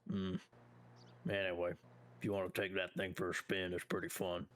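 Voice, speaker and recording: A man's voice: low voice, completely flat delivery, moderate pace, no room sound, lightly acted. A man playing a sly, laid-back biker dealer in game roleplay, terse, nonchalant and cocky.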